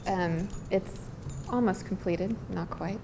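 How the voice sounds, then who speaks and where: A woman's voice: medium pitch, slow, gravelly, dark tone. A woman screenwriter answering questions casually, earnest and hesitant as she searches for words. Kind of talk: casual, unscripted Q&A talk. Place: live event hall. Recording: reverberant hall with background noise.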